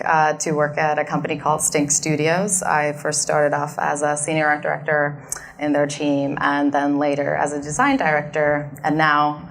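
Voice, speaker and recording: A woman in her thirties from Finland, with a Finnish accent, talking about her career as a guest at an onstage fireside chat, relaxed and thoughtful, with many fillers and restarts. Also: sits low. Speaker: guest speaker, design manager. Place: event stage in front of an audience.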